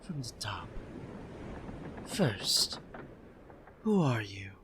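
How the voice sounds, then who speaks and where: A man's voice: lowish voice, sing-song, drawn-out words, a bit bright, slight background noise, heavily acted. A man playing a suave, self-assured pirate captain in an ASMR roleplay, courteous and playfully smug.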